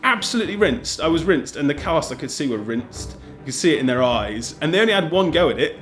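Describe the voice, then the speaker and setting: Male interviewee, animated self-deprecating storyteller, clear music underneath. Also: on the high side, fairly quick. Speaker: audio drama director. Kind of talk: casual interview anecdote. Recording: music playing behind the voice. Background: background music.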